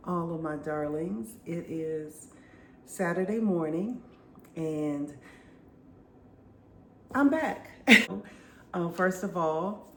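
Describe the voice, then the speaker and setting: A woman's voice: lowish voice, extremely slow, long pauses. A woman in her fifties, congested and stuffy-sounding, chatting casually to her viewers and telling stories with frequent laughs. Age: 50s. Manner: chatty, laughs often, lively storytelling. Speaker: vlogger. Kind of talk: casual chat to camera. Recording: echoey room, faint background noise.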